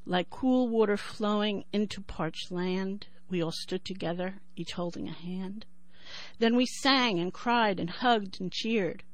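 A woman in her sixties with a New York accent who talks thoughtfully with many pauses and then reads her poem in a measured rhythm. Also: mid-range voice, no room sound, low-quality recording. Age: 60s. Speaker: poet and writer. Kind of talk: interview and poetry reading.

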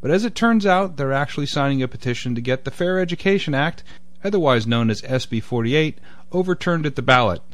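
A man reading a scripted community radio news segment in a crisp, pointed delivery. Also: mid-range voice, animated, fairly quick, no echo. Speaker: news reader.

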